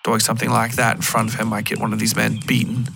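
A man narrating a scripted first-person story in a measured, literary storytelling style. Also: on the low side, monotone, vocal fry, background noise. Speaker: fiction podcast narrator.

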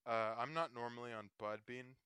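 A man's voice: medium pitch, normal speed, sharp tone. A Canadian man calling in to chat casually, joking and often repeating his phrases. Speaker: caller and game contestant.

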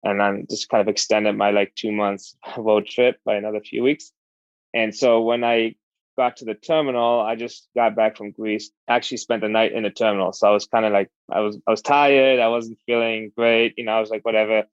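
Relaxed man in his thirties telling his story. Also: fairly low pitch, a little fast, clean tone, dry recording, muffled recording. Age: 30s. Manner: relaxed, rambling with fillers. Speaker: interviewee. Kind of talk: casual personal storytelling.

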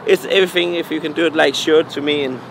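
A young Danish-accented man talks with a gravelly, slightly raised voice, pausing often on fillers as he searches for English words. Faint street noise and passing traffic run beneath him outdoors. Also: on the high side, fairly even intonation. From Denmark. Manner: halting non-native English, frequent fillers. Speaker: DJ and music producer. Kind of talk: casual interview answers.

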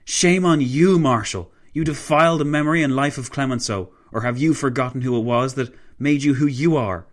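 Man as an outraged French statesman, pitched high. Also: normal speed, few pauses, lightly acted. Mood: furious. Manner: shrieking, barking, indignant. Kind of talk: dramatised historical narration.

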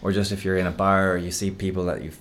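Deep-voiced podcast host. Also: male voice, little pitch movement, quick pace, slight background noise. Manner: easygoing, curious, hesitant with fillers. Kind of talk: casual interview chat.

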